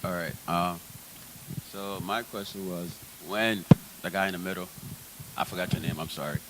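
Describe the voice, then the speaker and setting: A man's voice: low-pitched, steady tone, moderate pace, heavy background noise. A man in the audience asking a rambling question, full of "like" and "kinda". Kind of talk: casual questioning.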